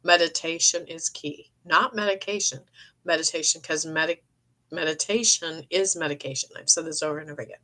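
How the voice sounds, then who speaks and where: A middle-aged woman with a cold, losing her voice, chatting in a meandering way on a live stream and often clearing her throat. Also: low voice, steady tone, a little fast, pushed and bright, rough recording. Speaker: psychic medium streaming live. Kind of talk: rambling live-stream chat. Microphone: phone or webcam for a live stream.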